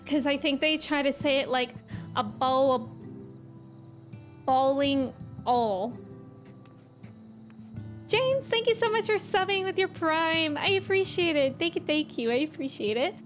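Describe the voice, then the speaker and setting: A woman with a Midwestern American accent chatting casually and excitably with viewers as she streams a game. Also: high-pitched, a little slow, long pauses, faint music behind. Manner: chatty, excitable, laughs easily. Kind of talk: casual livestream chat with viewers.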